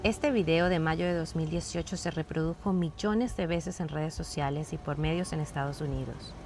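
A woman's voice: low voice, fairly even intonation, moderate pace, over music. A woman reading scripted narration for a news report.

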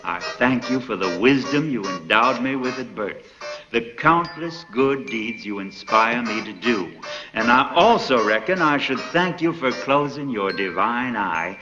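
An actor playing a sixty-year-old plantation master, pompous and cruel, with a self-satisfied drawl that can flare into furious shouting. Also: male voice, mid-range voice, lively intonation, unhurried, close to an everyday voice. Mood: smug. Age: 60s. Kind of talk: film acting. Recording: dull lo-fi film sound with music.

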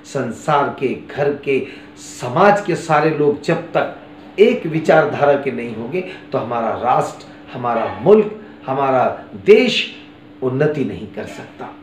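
A man's voice: medium pitch, lively intonation, takes it slowly. A middle-aged man teaching a lesson on a poem to students, talking earnestly and repeating key phrases, on a lapel mic. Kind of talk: classroom lesson explaining a poem. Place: studio with green screen background. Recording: roomy studio with faint noise.

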